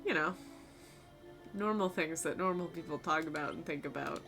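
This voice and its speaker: A young woman streamer with a low, fried voice, chatting casually with her viewers in a rambling, loose way, her voice a little tired and sore. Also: normal speed, a bit bright. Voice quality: low voice with vocal fry. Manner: rambling, talks to chat, swears casually. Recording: faint music behind.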